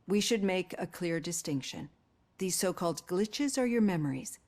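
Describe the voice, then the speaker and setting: An actress playing a composed, caring female psychologist in a video game, speaking in a measured, earnest, articulate way. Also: on the low side, lively intonation, a little mellow, close to an everyday voice. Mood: earnest, reassuring. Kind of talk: acted video game dialogue.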